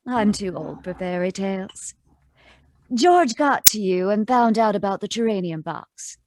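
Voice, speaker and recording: A woman's voice: mid-range voice, lively intonation, measured pace, background noise, natural voice. Woman playing a cutting, tough-talking femme fatale. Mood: cold, threatening. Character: hard, threatening femme fatale. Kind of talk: 1940s radio noir acting.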